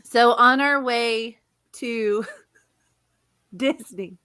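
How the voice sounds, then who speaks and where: A middle-aged woman with a high-pitched voice, co-hosting a podcast and telling stories in casual chat, giggling often. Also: drawn-out words, clean tone. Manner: giggly, animated storyteller. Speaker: podcast co-host.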